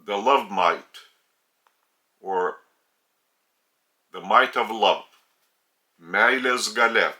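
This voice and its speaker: Male spiritual teacher, Lithuanian accent. Very bright, forceful tone, slow delivery in short, deliberate phrases. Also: on the low side. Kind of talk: religious teaching talk.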